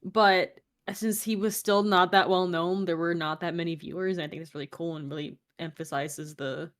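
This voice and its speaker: A woman's voice: lowish voice, fairly even intonation, moderate pace, a bit bright. A woman co-commentating in an informal, casual chat. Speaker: guest co-commentator.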